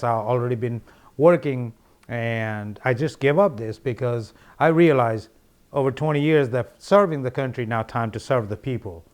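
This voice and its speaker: Middle-aged man, Nepali accent, political candidate, earnest and repetitive, plenty of restarts. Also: medium pitch. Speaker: congressional candidate. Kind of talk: interview answers.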